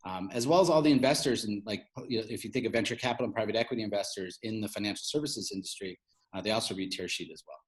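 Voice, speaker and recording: A man's voice: on the low side, very fast. A man speaking as an interview guest, explaining his field in an informal, conversational way. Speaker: interview guest, fintech media founder.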